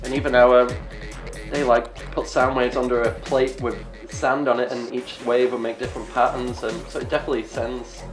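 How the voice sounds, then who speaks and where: A man with an English accent softened by years in Canada, chatting casually as an interviewed DJ in a laid-back, rambling way. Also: medium pitch, little pitch movement, a little fast. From England. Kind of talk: casual chat. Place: indoors, studio interview. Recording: roomy echo, music behind. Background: music.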